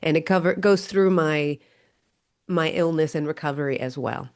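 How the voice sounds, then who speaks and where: A woman's voice: low voice, moderate pace. A middle-aged woman who sounds stuffy from a cold, talking eagerly as a guest in a casual interview. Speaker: guest author and coach.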